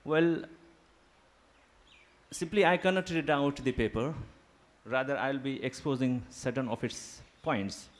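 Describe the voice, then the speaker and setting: A man whose first language is Urdu, giving a formal seminar lecture in a deliberate academic manner, pausing with fillers. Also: medium pitch. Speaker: guest lecturer. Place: seminar hall.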